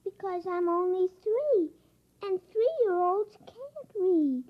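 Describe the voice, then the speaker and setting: A woman's voice: very high-pitched, animated, slow, heavily acted. A woman playing a precocious, blunt three-year-old girl in a 1950s radio drama, childlike and insistent. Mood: meek. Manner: childlike, matter-of-fact, insistent. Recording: muffled vintage radio recording.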